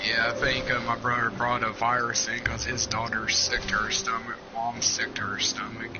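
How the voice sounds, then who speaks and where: Man, co-player, laid-back and joking, casual gaming chat, mid-pitched voice, dull lo-fi audio, clear music behind. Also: monotone, moderate pace.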